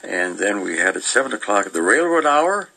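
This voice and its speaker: An older man co-hosting a radio show, reading through old listings and reminiscing in a halting, meandering, casual way with chuckles. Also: fairly low pitch, sing-song, gravelly, bright, forceful tone.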